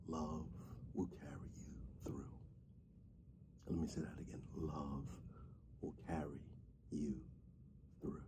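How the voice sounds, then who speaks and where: A man doing an ASMR fatherly roleplay, talking softly and half-whispering into a close microphone, tender, with halting restarts. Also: a bass voice. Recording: steady ambient background noise.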